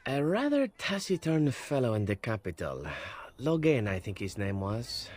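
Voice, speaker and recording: An actor playing a suave, flippant captured assassin in a video game, talking in a teasing, wry, chatty way. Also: male voice, medium pitch, big pitch swings, moderate pace, darkish tone. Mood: teasing, glib. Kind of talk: video game character dialogue.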